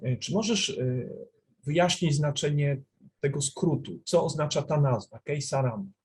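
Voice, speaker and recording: Husky-voiced male interviewer. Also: fairly high pitch. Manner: measured, polite, pauses between phrases. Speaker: festival TV interviewer. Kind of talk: formal interview questions.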